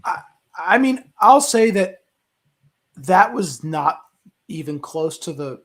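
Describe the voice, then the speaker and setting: A man's voice: sits high, sing-song, takes it slowly, a bit bright. A man giving casual, opinionated takes on a movie discussion show, rambling and emphatic as he repeats himself.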